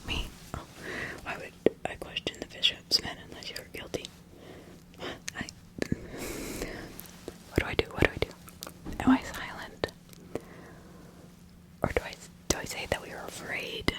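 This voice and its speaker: Lady playing a scared poor farmer accused of being a witch, asmr roleplay, all breathy whispering, jittery, keeps repeating nervous questions. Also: close to an everyday voice. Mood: anxious, bewildered. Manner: halting, repetitive, anxiously questioning.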